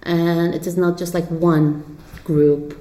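A woman telling personal stories in an interview in a halting, informal way, as if thinking aloud. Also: lowish voice, little pitch movement, dark tone. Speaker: peace activist interviewee. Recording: roomy, echoing interview recording.

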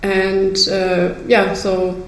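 A young woman giving an informal, slightly halting personal account in an interview. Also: mid-range voice, monotone. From Germany, born in Ukraine. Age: young adult. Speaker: sociologist and peace activist interviewee. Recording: echoey room with faint noise.

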